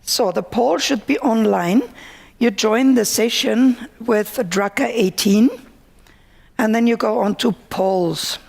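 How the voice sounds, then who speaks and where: Slow, gravelly-voiced woman chairing a session. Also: a little high, a little mellow. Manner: slow, instructing the audience. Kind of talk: moderating a conference session. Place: conference hall.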